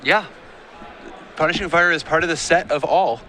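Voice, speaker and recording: A man's voice: a little high, moderate pace, pushed and bright. A man doing live game commentary, casual and joking, chatting with his co-host between plays. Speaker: color commentator. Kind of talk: live tournament commentary with banter.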